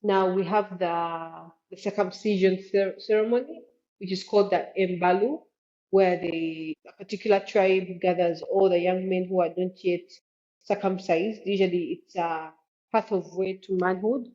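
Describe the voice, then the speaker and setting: Woman, Ugandan accent, soft dark tone, earnest explainer, interview guest, dull lo-fi recording. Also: lowish voice, normal speed. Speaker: guest, travel expert. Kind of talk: conversational interview answers.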